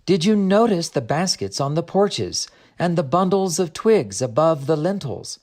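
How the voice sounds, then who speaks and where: A man's voice: on the high side, big pitch swings, a little slow, a little mellow, voice pushed up. A man voicing a friendly woman guide in an audiobook story, patiently explaining things. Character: Greta, friendly woman guide explaining her land's customs. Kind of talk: audiobook character dialogue.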